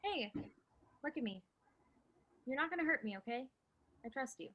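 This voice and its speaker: An actress in a staged play reading, playing an upbeat, affectionate and excitable young pregnant woman. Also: sits high, moderate pace, lo-fi sound. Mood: reassuring.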